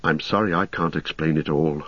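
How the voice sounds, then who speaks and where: A man's voice: extremely low pitch, fairly even intonation, normal speed, fairly bright tone, slightly rough, no echo, muffled recording, exaggerated voice. A man voicing a polite, anxious young traveller who speaks earnestly and plainly.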